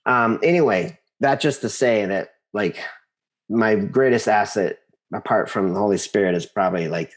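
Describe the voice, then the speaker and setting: A man's voice: lowish voice, normal speed. An American man in his forties speaking casually as an interview guest, thinking aloud and repeating phrases for emphasis.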